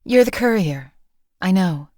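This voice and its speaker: A woman's voice: mid-range voice, animated, unhurried, darkish tone, no echo, natural voice. A woman playing a composed female assassin for hire in a video game, speaking in a dry, matter-of-fact way. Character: cool, lethal female assassin companion for hire. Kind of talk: video game companion voice lines.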